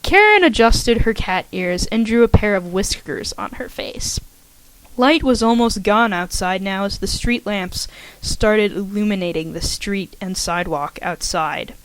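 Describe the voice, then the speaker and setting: A woman reads a fan-written story in a steady, lowered narration voice, recorded on a home microphone. Her pitch sits mid-range for a woman, and the sound is dry with no trace of the room. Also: measured pace, dry recording, poor audio. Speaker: fanfiction reader. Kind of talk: amateur audiobook narration.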